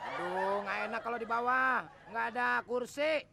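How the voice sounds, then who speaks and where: A man's voice: extremely high pitch, gravelly, very noisy. A man with a Jakarta accent, teasing and slangy, acting in a TV sitcom with music behind. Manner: cheeky, teasing, fast slangy banter.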